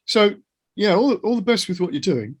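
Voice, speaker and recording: A man's voice: high-pitched, very animated, normal speed, husky. A British man hosting a casual interview podcast in an encouraging, affirming way. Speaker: interview host.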